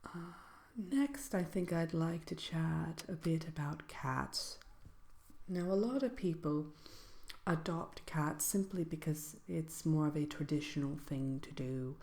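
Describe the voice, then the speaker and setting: A woman playing a genteel sorceress presenting a seminar in a soft-spoken ASMR roleplay, speaking in a hesitant, gently formal, measured way. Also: deep voice, creaky, heavily acted. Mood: calm, instructive. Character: genteel female fairytale sorceress lecturing on magical companion animals.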